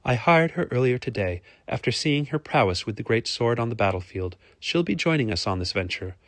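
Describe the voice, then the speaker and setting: A man's voice: mid-range voice, animated, fairly quick, a bit bright, dry recording, close to an everyday voice. A man voicing a young noblewoman in a fantasy audiobook, polite, demure and businesslike. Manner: polite, demure, matter-of-fact.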